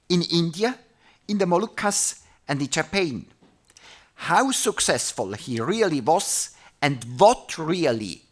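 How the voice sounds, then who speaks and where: Halting panel chair. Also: medium pitch, a bit bright. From Switzerland. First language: not English. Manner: halting, searching for words with many pauses. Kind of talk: introducing a conference panel.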